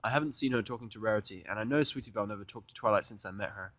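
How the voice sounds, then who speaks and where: A man's voice: fairly low pitch, very fast, fairly bright tone, no room sound. A man narrating fan fiction in the first person, with irreverent, sweary casual asides.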